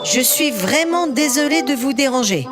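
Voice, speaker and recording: A woman's voice: high-pitched, expressive, moderate pace, over music. A woman voicing a friendly, polite and eager young boy train in a French children's cartoon. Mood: polite, pleading.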